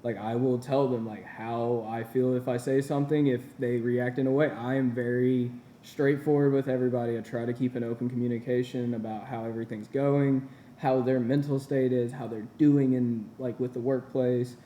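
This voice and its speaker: A man's voice: medium pitch, steady tone, normal speed, hardly any pauses, warm tone, roomy sound, slight background noise. A man in his twenties, a small-business owner, giving earnest, encouraging answers in a casual interview. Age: 20s. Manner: earnest and encouraging, with repeated phrases.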